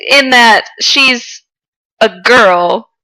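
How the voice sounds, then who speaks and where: A woman's voice: on the high side, extremely slow, sharp tone, low-quality recording. A woman chatting casually and informally as a podcast co-host. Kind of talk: casual chat about an animated film.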